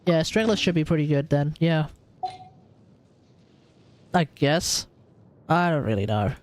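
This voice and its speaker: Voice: mid-range voice, lively intonation, unhurried, noisy background. A live streamer giving casual gaming commentary, thinking aloud and talking to the chat.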